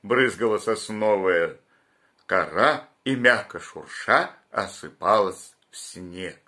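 A man in his fifties, an actor, reading a fairy tale aloud in a slow, tender, expressive way from a small room at home. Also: lowish voice. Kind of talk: storybook narration.

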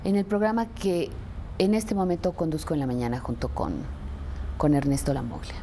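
A middle-aged woman with a Mexican accent speaking reflectively in an interview, deliberate and expressive, pausing for emphasis. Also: low voice, slight background noise. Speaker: radio presenter. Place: furnished indoor sitting room. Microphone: lapel mic.

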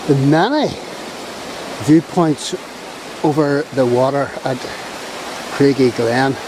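A Belfast man chats casually as a vlog host, taking his time with slow, hesitant phrases. He is outdoors in a wooded glen, with loud rushing water behind him. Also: medium pitch, background noise. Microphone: handheld camera mic, behind the camera.

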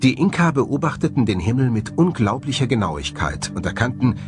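A man's voice: medium pitch, very animated, fairly quick. A man giving scripted narration as the presenter of a documentary. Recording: music behind the voice. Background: background music.

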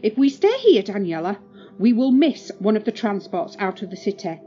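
A woman's voice: medium pitch, very animated, normal speed, warm tone, husky, dull audio. A woman playing a stern, distraught middle-aged mother in a horror audio drama. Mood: stern, urgent.

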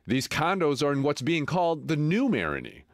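A man's voice: fairly high pitch, huge pitch swings, moderate pace. A man reporting a local news feature, narrating and interviewing people outdoors on residential streets.

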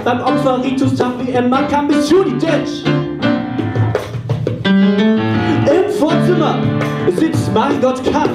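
A man's voice: very high voice, steady tone, normal speed, few pauses, far from the mic. A young man delivering a rhymed, sung and rapped show introduction as emcee into a handheld mic on a small theatre stage. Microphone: handheld microphone. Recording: echoey live stage with loud music. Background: live guitar and keyboard music.

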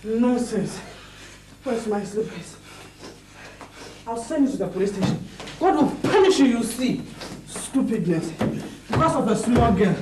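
A woman's voice: a little high, a little slow, recorded from a distance, natural voice. A woman playing a scornful, irate wife in a film drama, with a Ghanaian English accent. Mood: angry, scornful. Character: scornful, irate wife hurling insults and curses. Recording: echoey, off-mic, noisy room.

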